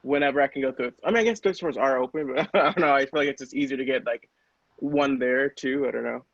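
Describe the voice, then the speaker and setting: A man's voice: mid-range voice, fast, fairly bright tone, no room sound, lo-fi sound. A young American man chatting casually in a rambling, informal way. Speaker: podcast cast member. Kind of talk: casual chat.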